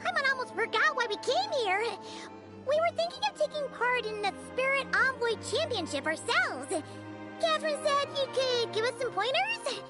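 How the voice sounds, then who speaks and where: A woman voicing a tiny, cheeky, excitable flying fairy girl in a video game, with a squeaky childlike voice. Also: moderate pace, loud music behind the voice. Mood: chatty, curious. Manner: refers to self in third person, exclamatory.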